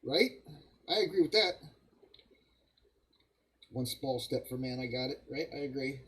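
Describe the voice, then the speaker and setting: A man with a cold, hoarse and coughing, hosting a live stream and chatting casually to viewers while building models. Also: mid-range voice, measured pace, a bit bright. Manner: rambling, friendly, greets viewers by name.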